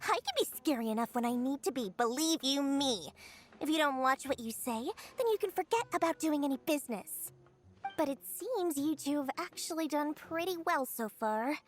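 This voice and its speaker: Sly game merchant woman, high voice, lively swooping delivery, greedy, playful, wheedling. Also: faint music behind. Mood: indignant, sly. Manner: theatrical sales patter, wheedling, drawn-out words. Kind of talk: animated video game dialogue.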